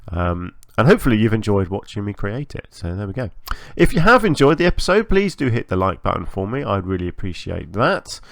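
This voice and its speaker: A man giving casual, chatty commentary as host of a gaming channel, a little hoarse from a long recording session, hesitant and self-effacing. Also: on the low side, big pitch swings, fairly quick, few pauses, a bit bright, no room sound, studio quality. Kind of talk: casual game build commentary.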